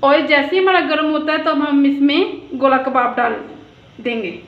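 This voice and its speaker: Woman, Pakistani Urdu accent, high voice, calm recipe walkthrough, echoey home kitchen, dull phone audio. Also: normal speed. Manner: calm instructional, listing measures. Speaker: cooking channel host. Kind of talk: step-by-step recipe narration.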